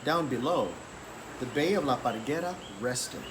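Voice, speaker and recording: Animated man reading a children's story. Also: mid-range voice, a little slow. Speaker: story reader. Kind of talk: children's picture book read-aloud. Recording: clearly noisy background.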